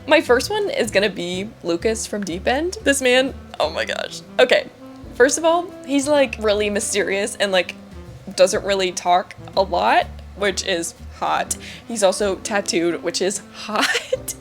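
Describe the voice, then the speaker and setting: A woman's voice: medium pitch, lively intonation, measured pace, soft background music. A woman chatting casually to camera as a book reviewer, with an emphatic, gushing, giggly manner. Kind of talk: casual chat to camera about books.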